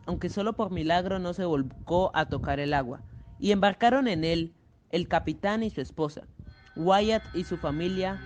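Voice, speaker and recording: A young man reading a scripted, dramatic story as narrator. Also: on the high side. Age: young adult. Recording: music playing clearly behind the voice.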